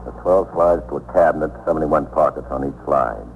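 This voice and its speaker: An actor playing an unhurried, wry police detective in a 1950s radio drama, explaining things in a dry, instructive way. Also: male voice, rumbling low, gravelly, phone-quality sound. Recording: noisy, phone-thin 1950s radio recording.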